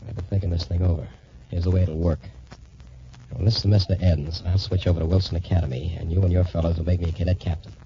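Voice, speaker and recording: A jealous young cadet in a 1940s radio adventure, scheming in a low voice. He talks tough and slangy, like a plotter, through a narrow, noisy old broadcast recording.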